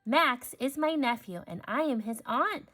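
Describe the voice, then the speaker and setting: A kind storybook schoolteacher played by a woman reader explains things with patience and gentleness. Her voice is fairly high, with music underneath. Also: lively intonation, unhurried, full character voice. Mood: patient. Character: kind, patient female teacher and aunt.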